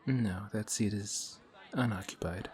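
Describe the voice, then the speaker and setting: An actor playing a courteous, formal villain who is sly and quietly taunting, in a one-sided audio roleplay. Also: male voice, fairly low pitch, measured pace, some chatter behind, natural voice. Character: suave, polite male villain in civilian clothes. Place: coffee shop scene with faint café chatter.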